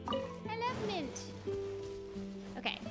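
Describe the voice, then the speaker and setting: A woman's voice: extremely high pitch, big pitch swings, very slow. A young woman chatting casually on a game livestream, playfully talking to the characters and her viewers. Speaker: game streamer. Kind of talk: casual livestream chat while gaming. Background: game music.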